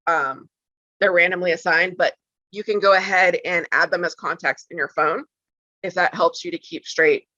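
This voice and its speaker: Vrouw, heldere scherpe stem, droge doffe opname, uitleg voor ouders, veel ehms. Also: female voice, lowish voice, pushed and bright, dry recording. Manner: conversational, many ums and restarts. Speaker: school tech trainer for parents. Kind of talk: instructional walkthrough for parents. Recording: slightly dull lo-fi sound.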